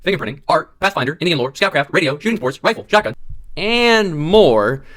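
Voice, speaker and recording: A man with a bright, forward voice at an average pitch delivers a promotional announcement. He reads from a script as a camp spokesman.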